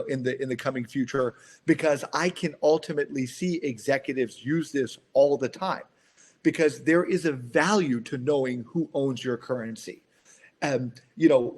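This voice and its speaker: Earnest man on a business call. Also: a little high. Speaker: company founder. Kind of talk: business conference call discussion.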